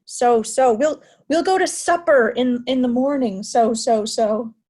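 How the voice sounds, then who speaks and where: Woman playing a raving, high-voiced mad old king. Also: measured pace, a little mellow. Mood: distracted, weary. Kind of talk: remote reader's theatre Shakespeare.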